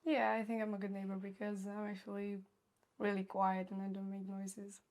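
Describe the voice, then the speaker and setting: A woman's voice: medium pitch, monotone, normal speed, a little mellow. A young woman from Romania with a Romanian accent, giving short, plain answers as an exam candidate.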